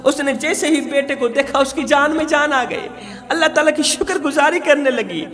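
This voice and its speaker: A man's voice: extremely high pitch, normal speed, fairly bright tone. A man with a Pakistani accent preaches, telling a moral tale in a dramatic, declamatory sermon style with emphatic repetition. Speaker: preacher and religious storyteller. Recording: long hall reverb with music.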